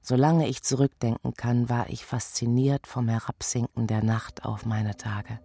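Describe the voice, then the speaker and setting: An actress playing a contemplative, sleepless creature of the night in a poetic radio play, with hushed, deliberate delivery. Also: female voice, rumbling low, fairly even intonation, husky, no echo, clean studio sound, heavily acted. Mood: contemplative, grave.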